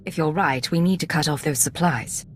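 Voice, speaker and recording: No-nonsense female operative played by a woman, slightly low voice, direct and guarded, game cutscene dialogue. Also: normal speed, faint music behind, lightly acted. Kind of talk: acted video game dialogue.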